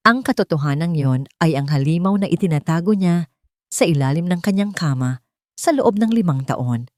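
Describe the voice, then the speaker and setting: Low-voiced woman narrating a drama. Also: no room sound, clean studio sound. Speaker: storyteller narrator. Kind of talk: dramatic scripted narration of a Tagalog love drama.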